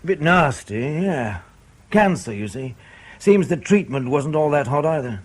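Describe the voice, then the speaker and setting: Sardonic interrogator, swooping intonation. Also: male voice, a little high, unhurried. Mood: dry. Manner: colloquial, needling, deadpan understatement. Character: sardonic, menacing male British intelligence interrogator. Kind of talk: radio drama acting.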